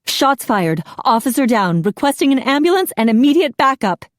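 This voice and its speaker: A woman's voice: mid-range voice, expressive, measured pace, warm tone, no room sound, studio quality. A woman voicing a young female police officer who speaks firmly and urgently. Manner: firm, urgent, commanding.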